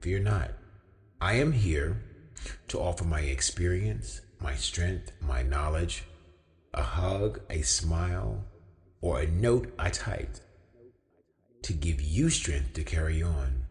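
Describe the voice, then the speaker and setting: A young man delivering an inspirational spoken-word monologue as a storyteller, with deliberate, measured phrasing and emphatic pauses. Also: deep voice, expressive, darkish tone. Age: young adult. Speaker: featured storyteller. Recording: long hall-like reverb on the voice.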